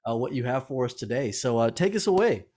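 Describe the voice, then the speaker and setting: A man's voice: medium pitch, expressive, fast, darkish tone. A man hosts a webinar over a video call, introducing the guest with enthusiasm. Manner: upbeat, enthusiastic. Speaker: host.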